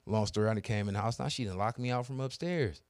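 A man's voice: lowish voice, fast talker, no echo. Profane young man telling a story. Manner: profane, animated storyteller acting out both sides. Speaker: podcast co-host. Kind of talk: casual storytelling chat.

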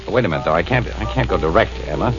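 A man's voice: low voice, expressive, rapid-fire. An actor playing a breezy, stubborn male crime photographer in 1940s radio acting, on an old radio recording. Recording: dull old radio broadcast with music.

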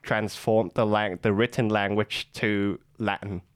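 A man's voice: lowish voice, fairly even intonation, a little slow, smooth. A young man, a guest in a casual interview, speaking hesitantly with many fillers and restarts. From Vietnam.

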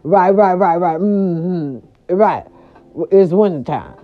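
A grandmother from California talking casually to her viewers, animated and chatty, with salty slang and lots of "right, right" fillers. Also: on the low side, slow. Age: older. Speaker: vlogger. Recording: dull lo-fi sound.

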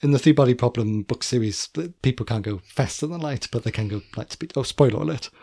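Gravelly-voiced man chatting casually. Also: medium pitch, fast, dark tone, no echo, clean studio sound. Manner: chatty, self-correcting, repeats words. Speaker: podcast co-host. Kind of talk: casual chat.

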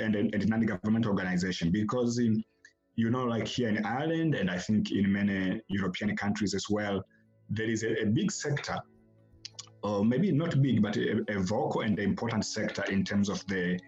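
A man from Tanzania, answering podcast interview questions as an academic guest in a halting way, often repeating words as he starts a phrase. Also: on the low side, darkish tone, muffled recording.

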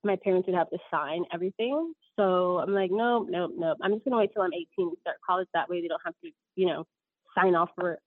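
A woman's voice: fairly low pitch, fairly quick, dry recording. Interview guest, woman, 30s. Rambling, self-correcting storyteller, tinny dry line. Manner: rambling, frequent ums and self-corrections, laughs often.

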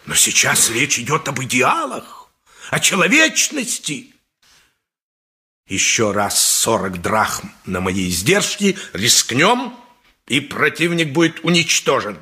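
A man acting a grandiose, fee-hungry lawyer in a radio play, declaiming persuasively in a very raspy voice. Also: medium pitch, wildly swooping pitch, slow pace, sharp tone, heavily acted. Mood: grandly persuasive.

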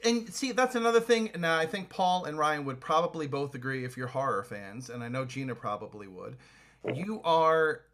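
A man hosting a podcast in a casual interview. His voice is bright and a little high for a man, and he asks long, eager, rambling questions and heaps praise on his guest. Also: lively intonation, moderate pace. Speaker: podcast host. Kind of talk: casual chat.

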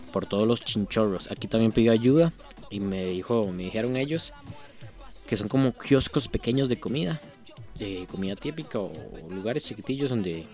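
A man's voice: on the low side, a little mellow. A Costa Rican man reading out song lyrics and commenting on them in a casual, earnest way, thinking aloud with frequent restarts. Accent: Costa Rican Spanish. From Costa Rica. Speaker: reaction video host.

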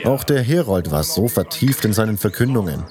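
Guy narrating a fantasy audiobook, lowish voice, loud music behind him. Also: animated, brisk. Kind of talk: scripted first-person audiobook narration of a fantasy story.

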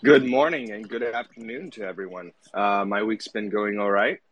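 A man's voice: lowish voice, moderate pace, a bit bright, dull audio. A man co-hosting a live audio chat, easygoing, with a slightly froggy voice from a cold. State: has a cold, a bit froggy. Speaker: co-host.

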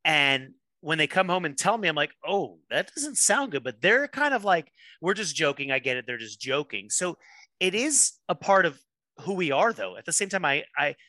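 A man's voice: fairly high pitch, very animated, bright, forceful tone, dry recording. A man who grew up in Miami, a pastor, talking candidly in a podcast interview, joking at his own expense and laughing easily. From Miami, USA. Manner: candid, self-deprecating, quick to laugh. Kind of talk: casual interview conversation.

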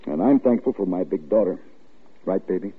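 Old radio sound, thin and tinny, a folksy husky dad, indulgent but brushing things off. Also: male voice, low-pitched, animated, a little fast, exaggerated voice. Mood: fond, relaxed. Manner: folksy, paternal. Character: easygoing, indulgent but dismissive family father. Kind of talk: 1940s radio acting.

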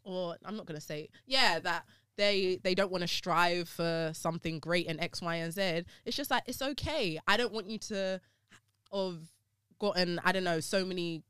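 A woman in casual conversation, animated and talkative, with laughing asides. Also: normal speed, clean tone, no room sound, studio quality. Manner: animated, laughing asides, rises in pitch when joking. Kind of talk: casual chat.

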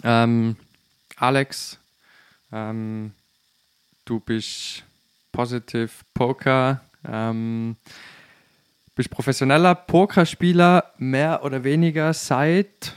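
Slow-paced man with a smooth, clear voice. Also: mid-range voice, steady tone, no room sound. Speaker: podcast host. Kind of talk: casual interview. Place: at home.

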